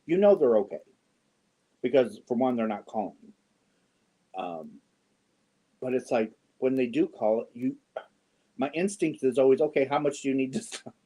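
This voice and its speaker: Mellow-voiced chatty man in his fifties. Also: mid-range voice, expressive, unhurried, pauses often. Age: 50s. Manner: chatty and joking, often clears throat and chuckles. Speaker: yarn channel host. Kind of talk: casual livestream chat answering viewer comments.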